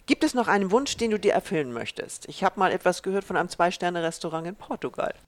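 A podcast host reading prepared interview questions and offering warm compliments. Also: mid-range voice, lively intonation, fast talker, no room sound.

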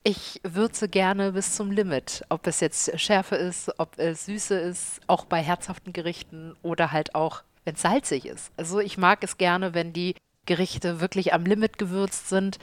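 A woman in her thirties with a Hamburg accent, a chef and restaurant owner answering interview questions with lively enthusiasm. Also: fairly low pitch, a little slow, dry recording. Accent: Hamburg German. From Hamburg, Germany.

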